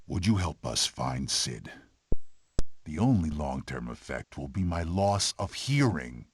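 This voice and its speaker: A man's voice: extremely low pitch, sing-song, unhurried, noisy background, heavily acted. A man voicing a wry, grumbling prehistoric animal in a video game, with a dry, sardonic delivery.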